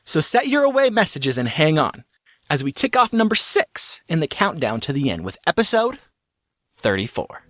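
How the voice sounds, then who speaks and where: A man with a notably high voice introduces a story podcast. His pitch moves animatedly as he reads an upbeat, playful intro, recorded dry and close. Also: very animated, normal speed, pushed and bright, no room sound. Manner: playful, announcer-like. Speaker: podcast host introducing an episode. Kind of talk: scripted, upbeat show intro.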